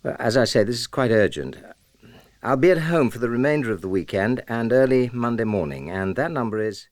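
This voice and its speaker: A man's voice: medium pitch, expressive, brisk, dry recording, clean studio sound, close to an everyday voice. An actor playing a reserved British publishing man, polite and a little hesitant, in a naturalistic radio drama. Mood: polite urgency.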